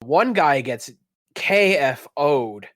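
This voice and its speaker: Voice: medium pitch, expressive, drawn-out words, a bit bright, no echo, studio quality. A game master improvising tabletop narration and casual banter in a teasing, profane, dramatic way of phrasing.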